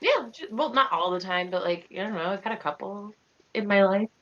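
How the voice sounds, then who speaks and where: A woman's voice: medium pitch, lively intonation, fairly quick, fairly bright tone, muffled recording. A woman chatting casually in an online tabletop game, in a hesitant, piecemeal way. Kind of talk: casual in-character chat.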